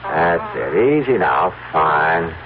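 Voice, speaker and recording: Excitable husband with swooping delivery. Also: male voice, a bass voice, exaggerated voice. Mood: relieved, friendly. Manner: halting, repeats words urgently. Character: desperate, excitable husband of a sick wife. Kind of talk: 1970s radio drama acting. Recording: tinny, noisy vintage 1970s radio broadcast.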